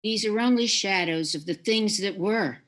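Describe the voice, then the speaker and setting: A woman playing a calm, gentle but commanding spirit in a radio play, with a measured, solemn delivery. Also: mid-range voice, lightly acted. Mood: gently probing.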